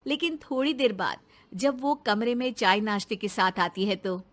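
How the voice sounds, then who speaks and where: A woman's voice: medium pitch, normal speed, a bit bright. A woman narrating a scripted cartoon story as its storyteller.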